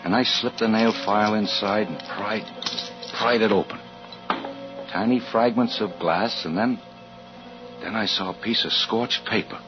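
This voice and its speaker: An actor playing a suspicious, uneasy husband and father who narrates in a tense, halting, deliberate way in 1940s radio style. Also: fairly low pitch, lightly acted. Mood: brooding, tense. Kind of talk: 1940s radio acting with first-person narration. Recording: dull vintage 1940s radio with music.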